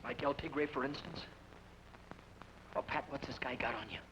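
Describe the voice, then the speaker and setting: An actor playing an earnest younger brother who keeps insisting and disbelieving, in old radio drama style. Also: male voice, mid-range voice, steady tone, normal speed, natural voice. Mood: pleading. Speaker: outlaw's brother. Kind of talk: 1940s radio acting. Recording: dull old radio broadcast with hiss.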